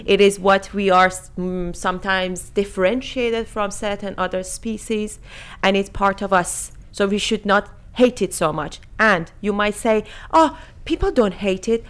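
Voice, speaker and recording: A woman's voice: medium pitch, measured pace, clear, resonant tone. A woman in her thirties from Iran who has lived in many countries, co-hosting a casual panel discussion. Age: 30s. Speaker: podcast co-host.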